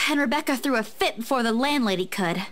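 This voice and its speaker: Woman as a high-pitched, flustered young heroine. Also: expressive, fairly quick, fairly bright tone. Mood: hesitant, chatty. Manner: stammering, defensive, earnest. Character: flustered, shy, chatty young woman, visual novel heroine. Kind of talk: visual novel character dialogue.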